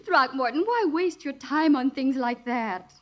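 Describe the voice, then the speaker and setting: A genteel, gently chiding wealthy widow. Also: female voice, high voice, animated, a little slow. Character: refined wealthy widow, poised and gently teasing. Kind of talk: 1940s radio comedy acting. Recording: hissy old radio transcription.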